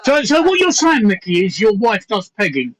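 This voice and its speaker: A sixty-two-year-old man with a noticeably high voice, co-hosting a casual livestream. He teases with innuendo and often restarts and repeats his phrases. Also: wildly swooping pitch, moderate pace, shouting, very noisy. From England. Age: 60s. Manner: teasing, repeats himself, innuendo. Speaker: livestream co-host. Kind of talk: casual chat.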